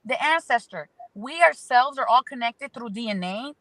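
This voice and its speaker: A woman with a bright, slightly high voice offers spiritual guidance as an astrology guest. She speaks emphatically and repeats phrases in rhythmic lists, heard close and dry. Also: expressive, normal speed, no echo, low-quality recording. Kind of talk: spiritual advice in conversation.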